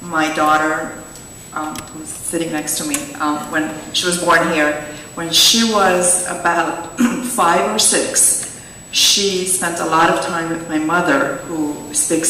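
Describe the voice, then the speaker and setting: A woman's voice: low voice, steady tone, takes it slowly, pushed and bright, a little hiss or hum. A woman from the audience, a native Hungarian speaker, telling a story in English. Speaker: audience member. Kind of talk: sharing an anecdote. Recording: distant echoey hall mic.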